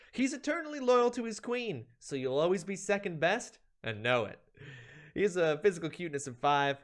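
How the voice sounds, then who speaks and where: A man hosting a stream, giving a playful, theatrical reading of a comedic ranked list with emphatic delivery. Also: fairly high pitch. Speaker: streamer, host.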